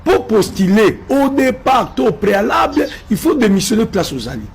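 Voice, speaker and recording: A man's voice: high voice, sing-song, normal speed, vocal fry, slight background noise. A man from Congo with a Congolese French accent giving impassioned political commentary, emphatic and repetitive, slipping in Lingala phrases.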